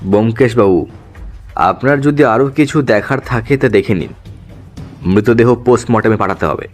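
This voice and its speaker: A businesslike police officer played by a man in an audio drama. His voice sits at a middle pitch, formal and authoritative, with background music. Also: normal speed, close to an everyday voice. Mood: matter-of-fact, skeptical. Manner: formal, matter-of-fact, authoritative. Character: businesslike male police officer, brisk and skeptical.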